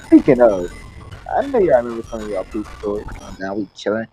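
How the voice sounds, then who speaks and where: A young man telling a casual story to friends in an animated, slangy, profane way, acting out the dialogue. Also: lowish voice, a little fast, poor audio. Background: video game music.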